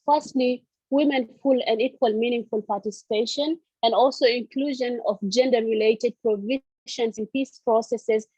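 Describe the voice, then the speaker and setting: Panelist on a video call: woman from South Sudan, somewhat high, mellow voice, halting delivery, muffled audio. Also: husky, no room sound. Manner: halting, with frequent fillers and restarts. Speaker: civil society panelist. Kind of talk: online meeting presentation.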